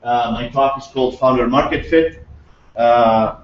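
A man's voice: medium pitch, a little slow. A middle-aged man from Syria giving an enthusiastic conference talk with many fillers and restarts, recorded from a distant camera in a large lecture room. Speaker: guest speaker. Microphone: far camera. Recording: roomy echo, distant, dull lo-fi.

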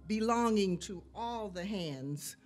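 An older woman reads poetry expressively at a podium microphone, deliberate and emphatic. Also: medium pitch, animated, a little mellow. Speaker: community poetry reader. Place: indoor event room, at a podium. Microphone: podium microphone on a stand. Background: faint music.

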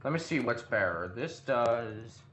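A man's voice: medium pitch, expressive, unhurried, fairly bright tone, a little hiss or hum. A man chatting casually with a friend as he comments on a video game, with slangy, sweary talk. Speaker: gamer in a co-op let's play.

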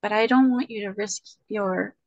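A woman's voice: medium pitch, normal speed, no room sound, lo-fi sound, natural voice. A woman playing a wistful, guarded woman in love in a screenplay table reading over video call.

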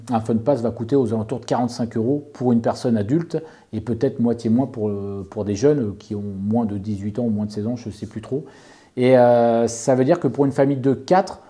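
This is a man with a very dark, mellow voice. He talks informally and methodically, like an experienced owner giving advice. He explains point by point and rephrases as he goes. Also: medium pitch. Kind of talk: informal explanatory talk, answering an interviewer.

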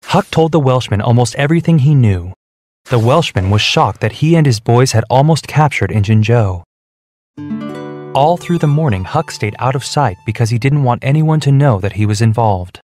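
A man reading a children's storybook, clear and measured. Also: mid-range voice, lively intonation. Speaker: narrator. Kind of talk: scripted storybook narration. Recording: soft background music.

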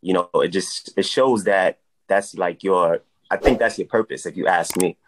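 A man in his thirties with a lowish voice co-hosts over a video call from his home. He talks in an upbeat, affirming way and keeps repeating his praise. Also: moderate pace, low-quality recording. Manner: enthusiastic, repeats "I love that". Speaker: podcast co-host. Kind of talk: casual podcast interview.

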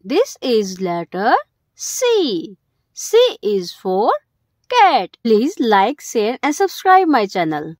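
A woman's voice: a little high, huge pitch swings, slow pace, dry recording, studio quality. A woman hosting a children's educational video, speaking in simple short phrases and stressing the key words. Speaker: host of a children's alphabet video.

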